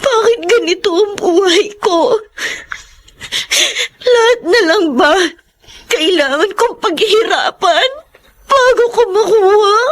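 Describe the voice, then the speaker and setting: An actress with a Filipino accent playing an exhausted, embittered elder sister and breadwinner in a radio melodrama, crying and pleading in anguish. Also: female voice, very high voice, slow pace. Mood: despairing.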